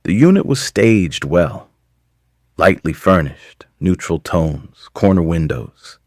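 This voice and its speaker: A man calmly introducing and narrating a romance story. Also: low-pitched, slow, no room sound, clean studio sound. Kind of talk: scripted storytelling.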